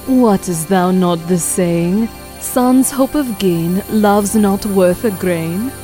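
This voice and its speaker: A woman's voice: mid-range voice, slow, mellow, soft background music, close to an everyday voice. A woman playing a haughty, formal eldest lady of the house in an audio drama. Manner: formal, commanding.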